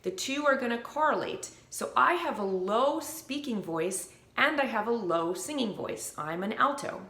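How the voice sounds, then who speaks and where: A woman vocal coach explaining breath support and pitch in a clear, upbeat teaching manner, with short sung demonstrations. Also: animated, normal speed, pushed and bright, reverberant. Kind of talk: instructional video.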